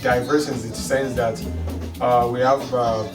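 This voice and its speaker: A middle-aged man from Nigeria with a Nigerian accent, speaking haltingly in a documentary interview. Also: mid-range voice, level tone, far from the mic. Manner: halting, many restarts and fillers. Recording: roomy, off-mic with music underneath.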